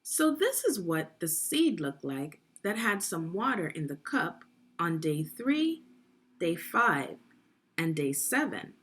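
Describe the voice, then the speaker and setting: Slow, very expressive woman teaching young readers. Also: on the low side, slightly rough. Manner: instructive, questioning, deliberate phrasing. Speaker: education consultant reading aloud as a teacher. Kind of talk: guided read-aloud lesson for young children.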